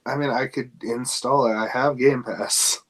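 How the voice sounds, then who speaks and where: A man's voice: medium pitch, steady tone, a little slow. A man co-hosting a podcast, chatting casually and jokingly in slangy speech. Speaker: podcast co-host.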